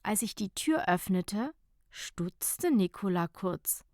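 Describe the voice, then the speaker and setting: Slow-paced woman reading an audiobook. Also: medium pitch, lively intonation, darkish tone, no echo, studio quality. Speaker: audiobook narrator. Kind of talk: scripted narration of a light humorous short story.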